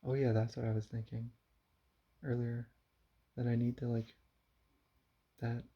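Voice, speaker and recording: Quiet, gravelly young man, sighing. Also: mid-range voice. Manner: quiet, subdued, halting and sighing. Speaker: vlogger. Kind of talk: confessional vlog to camera. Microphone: camera mic. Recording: dull lo-fi camera audio.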